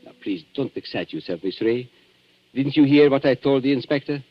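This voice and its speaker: An actor playing a suave, coolly calculating antique dealer who kills, smooth, mock-polite and theatrical, in a 1940s radio thriller. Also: male voice, mid-range voice, expressive, normal speed, natural voice. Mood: coolly reasoning. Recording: dull 1940s radio recording with music.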